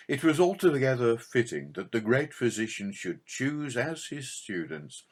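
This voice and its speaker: A man reading a religious audiobook as scripted narration, in a measured, formal and expressive way. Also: on the low side.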